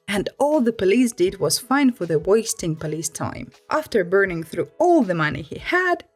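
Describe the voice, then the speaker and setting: A woman narrating stories in an expressive read-aloud storytelling style. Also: medium pitch.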